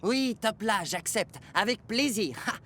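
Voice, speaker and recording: A man's voice: high voice, animated, unhurried, pushed and bright, heavily acted. A man voicing a vain, boastful talking steam engine in a French-dubbed children's cartoon, theatrical and pompous. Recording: music behind the voice.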